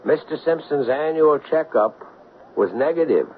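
Man playing tough sardonic cop, lively intonation, probing, old radio play, muffled with faint hiss. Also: on the high side, normal speed, natural voice. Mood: wry, probing. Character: tough, wry, dogged male ex-police sergeant. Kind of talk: 1970s radio drama acting. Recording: dull lo-fi 1970s radio broadcast, hissy.

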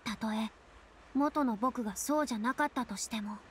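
A woman's voice: on the high side, moderate pace, a little hiss or hum, natural voice. An actress voicing a proud, theatrical young woman, a retired star with boyish turns of phrase, in an anime-style game. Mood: resolute, wistful. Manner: haughty, dramatic, speaks with boyish self-reference. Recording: studio voice over game music.

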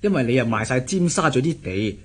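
An actor playing a sly, teasing middle-aged adviser in a radio drama, speaking Hong Kong Cantonese. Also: male voice, mid-range voice, sing-song, brisk, breathy edge. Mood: knowing, teasing.

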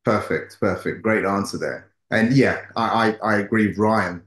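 A middle-aged man hosting an interview, putting questions deliberately and repeating phrases for emphasis. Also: on the low side, a bit bright. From United Kingdom, resident. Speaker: show host, interviewer. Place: small home office, indoors. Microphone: video call. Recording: roomy, echoing video-call audio.

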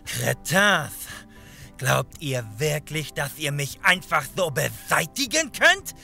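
Old vampire, arrogant, sneering, comedy radio play, swooping pitch, creaky voice. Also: male voice, big pitch swings, takes it slowly, bright, forceful tone, soft background music, exaggerated voice. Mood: mocking menace. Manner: pompous, sneering. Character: arrogant, mocking old vampire, menacing blood-sucker. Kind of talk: comedy audio drama acting.

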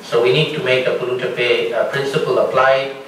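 A man's voice: mid-range voice, level tone, a bit bright, recorded from a distance, slight background noise. Man from Bangladesh, echoey lecture hall. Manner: measured, explanatory, builds points with examples. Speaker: panelist. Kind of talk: panel discussion answer. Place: large lecture hall with audience. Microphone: room mic from a distance.

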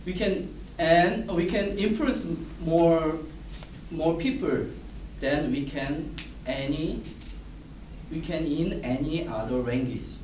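Korean man in his thirties giving a class speech, slow and halting, taped from far across a roomy classroom. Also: on the high side, darkish tone. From South Korea. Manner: halting, repeats and restarts words, gestures while reading. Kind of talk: reading a prepared class speech. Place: classroom in front of a whiteboard. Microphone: far camera. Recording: roomy, distant, noisy classroom audio.

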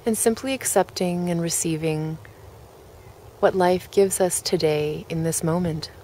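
Low-pitched woman guiding a relaxation. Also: slight background noise. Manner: gentle, measured phrasing with pauses. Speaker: guided relaxation host. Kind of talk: guided relaxation, meditation narration.

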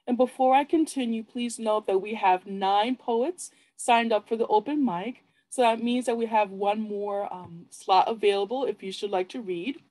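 A woman hosting a poetry event, giving announcements and introductions in a polite, formal, measured way. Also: mid-range voice, dark tone, low-quality recording. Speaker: host of poetry open mic.

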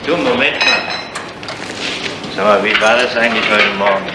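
A gruff police inspector with a low voice played by a man. He is weary and dryly sardonic, in the style of a 1970s crime radio play. Clear background noise sits behind him. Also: normal speed, close to an everyday voice. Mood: dry, matter-of-fact. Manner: gruff, dry. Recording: noisy ambience behind the voice.

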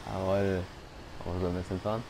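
Gravelly low German-speaking gamer. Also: male voice, level tone, brisk. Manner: rambling, joking to himself. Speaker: gaming YouTuber. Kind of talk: casual let's-play commentary. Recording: noisy gameplay recording.

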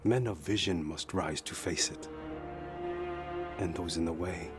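An actor playing a cold, fanatical traitor in a video game, with an ominous, menacing way of talking. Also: male voice, deep voice, over music. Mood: cold, defiant. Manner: slow, ominous. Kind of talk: dramatic video game acting.

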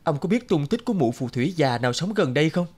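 A man's voice: a little high, very animated, fast talker, darkish tone. A man voicing an earnest, polite young prince in a fairy-tale cartoon. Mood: weary, searching.

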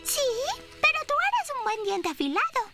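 A woman's voice: very high-pitched, wildly swooping pitch, normal speed, loud music behind the voice, exaggerated voice. A woman voicing a sweet, caring little girl dinosaur in a children's cartoon, childlike and repeating words for emphasis. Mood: concerned, reassuring. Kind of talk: Spanish-dubbed children's cartoon acting.

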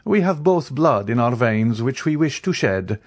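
Male narrator as aristocratic count, storybook villainous hauteur, mocking, grand declamation, raised swooping pitch. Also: big pitch swings, unhurried. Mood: haughty, threatening. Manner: haughty, grandly declamatory, formal. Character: proud, theatrical aristocratic count, coldly mocking and menacing.

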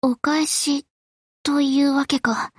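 Slow, composed anime warship woman. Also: high-pitched, level tone, no echo, studio quality. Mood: quietly pleased. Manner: measured, formal, reserved.

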